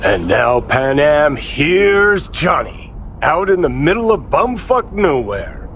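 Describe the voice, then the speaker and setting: An actor playing a cocky, sardonic ghost of a rebel rocker in a video game, mocking and crude in a drawling way. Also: male voice, fairly high pitch, big pitch swings, slow pace, a bit bright, full character voice. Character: cocky, sardonic dead rebel rocker living in someone's head. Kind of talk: video game cutscene dialogue. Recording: clear vehicle and ambience noise behind.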